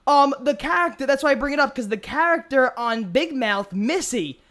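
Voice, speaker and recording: A man's voice: very high-pitched, animated, moderate pace, fairly bright tone, a little hiss or hum. A man hosting a podcast in casual chat, swearing freely and often repeating words and phrases as he talks. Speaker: podcast host.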